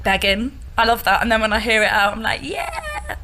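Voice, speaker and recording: Expressive woman with a Liverpool accent. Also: a little high, moderate pace, a little hiss or hum. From Liverpool, England. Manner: proud, expressive, sings snippets. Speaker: musical theatre actress, guest.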